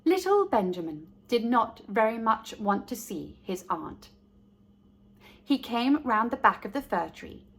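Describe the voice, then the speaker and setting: A woman reading a children's story aloud as host, speaking directly to young listeners in a deliberate way. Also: fairly high pitch.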